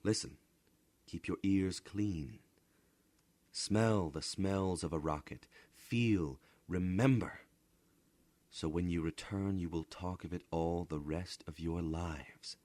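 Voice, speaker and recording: Man as very deep, earnest gentle father. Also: slow, no room sound. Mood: solemn, tender. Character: gentle, dreaming father, tender and secretly anxious.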